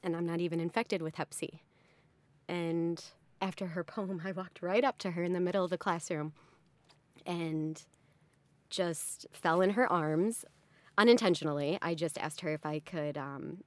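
A woman's voice: lowish voice, moderate pace, no room sound. A woman in her late twenties telling a personal story in an earnest, heartfelt way. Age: 20s. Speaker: interview participant and health advocate.

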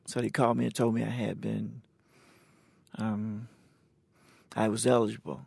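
An older man in his sixties talks with a deeply gravelly, husky voice. His delivery is plain and halting, unhurried as he tells his own story. The sound is dry and close. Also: flat delivery, a little mellow, no room sound. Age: 60s. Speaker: interviewee. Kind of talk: recorded personal conversation. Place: indoors at a cultural center.

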